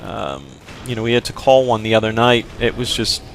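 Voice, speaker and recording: A young man giving casual, rambling commentary while he plays a video game, with lots of fillers and sudden shouts. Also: lowish voice, a little fast, vocal fry, a little hiss or hum. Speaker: gameplay video host. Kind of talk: casual let's play commentary.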